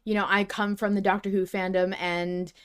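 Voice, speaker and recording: A woman's voice: mid-range voice, flat delivery, normal speed, clear, resonant tone. A woman co-hosting a casual fan podcast, wry and teasing, with exclamatory reactions and casual swearing. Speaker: podcast co-host.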